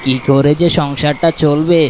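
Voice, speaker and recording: A man playing a poor, anxious village farmer and family man in a plaintive, lamenting way for an animated moral cartoon. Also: fairly high pitch, moderate pace, noisy background, lightly acted. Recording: music behind the voice.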